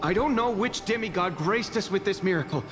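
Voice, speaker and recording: An actor playing a loyal, alert young city guard in a video game, with an earnest delivery. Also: male voice, sits high, animated, a little fast, in a large hall, over music. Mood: reverent, reassuring.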